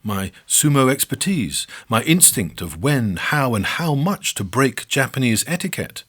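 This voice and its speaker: A man's voice: mid-range voice, sing-song, measured pace, fairly bright tone, breathy edge. A man narrating a scripted first-person memoir, with a wry, mock-boastful, self-deprecating manner.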